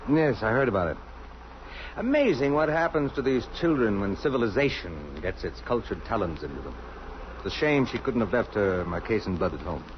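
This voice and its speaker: An actor playing a courteous, world-weary, cultured doctor in an old radio drama, with a refined and hesitant manner. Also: male voice, on the low side, sing-song, fairly quick. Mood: musing, smug. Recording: muffled old radio recording, faint noise.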